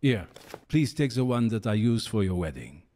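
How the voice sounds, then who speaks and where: Kindly clergyman, mellow tone, animated intonation, solemn, ceremonial, radio drama. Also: on the low side, normal speed. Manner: ceremonial, benevolent. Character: kindly, solemn male reverend. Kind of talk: audio drama acting.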